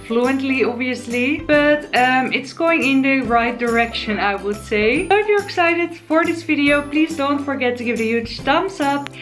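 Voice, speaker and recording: A woman in her twenties with a Dutch accent and a fairly high voice speaks in a chatty, friendly way. She sits on a sofa in her living room, facing the camera, and slows down to spell out new words. Soft music plays faintly behind her. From Netherlands. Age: 20s. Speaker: YouTuber teaching words. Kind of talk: casual vlog lesson. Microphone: camera in front, seated.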